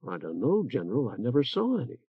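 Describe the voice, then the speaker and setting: A male audiobook narrator voices a plain young man who talks quietly and simply. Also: mid-range voice, huge pitch swings, fast talker, husky, no room sound, lo-fi sound, full character voice. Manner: soft-spoken, simple, blunt.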